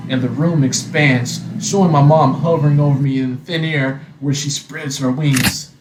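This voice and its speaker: A man's voice: fairly high pitch, steady tone, normal speed. Guy doing storytime narration, echoey room, noisy background. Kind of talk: scripted personal storytelling narration. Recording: roomy echo, noisy background.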